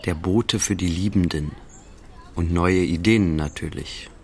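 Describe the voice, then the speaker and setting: A man playing a detached narrator in a literary radio drama, speaking in terse, solemn, measured phrases. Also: sits low, slight background noise.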